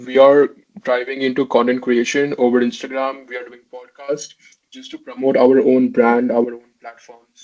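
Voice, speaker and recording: A male podcast host asking his guest questions in a measured, straightforward way. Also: medium pitch, mellow. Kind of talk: interview questions. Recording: dull lo-fi audio.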